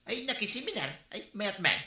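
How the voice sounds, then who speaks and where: A man's voice: high-pitched, big pitch swings, normal speed, pushed and bright, roomy sound, heavily acted. A man playing a grumbling lazy husband. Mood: chatty. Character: lazy, drink-loving husband. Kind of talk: Ilocano radio comedy drama acting.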